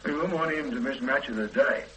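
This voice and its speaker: Radio play: man as blunt cop, dry and wry, bright tone. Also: medium pitch, a little fast, a little hiss or hum, lightly acted, rough recording. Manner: terse with superiors, wry at home. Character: blunt rough-diamond male detective sergeant. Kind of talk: 1980s radio drama acting.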